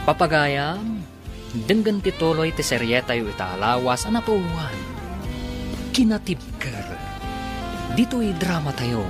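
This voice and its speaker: A man with a Filipino Ilocano accent narrating and announcing a radio drama serial in a scripted style, over music. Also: mid-range voice. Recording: music behind the voice.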